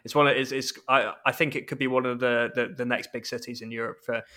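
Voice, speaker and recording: A man's voice: medium pitch, level tone, quick pace, pushed and bright. A man in his twenties hosting a podcast, chatting in a friendly, casual way. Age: 20s. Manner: friendly, chatty, laughs easily. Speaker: podcast host.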